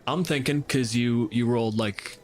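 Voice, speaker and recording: A man's voice: medium pitch, normal speed. Casual man running a tabletop game. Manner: informal, freely swearing. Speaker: game master.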